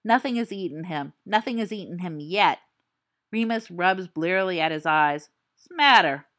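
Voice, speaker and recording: A woman reading a fan-written story aloud, with expressive, suspenseful storytelling. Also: lowish voice, moderate pace. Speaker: audiobook reader.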